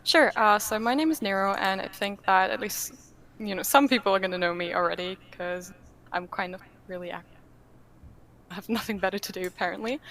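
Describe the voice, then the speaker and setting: A woman's voice: medium pitch, a little fast, fairly bright tone. A woman from Germany, a native German speaker, chatting casually as a podcast guest in a talkative, self-deprecating way. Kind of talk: casual chat. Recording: clearly noisy background.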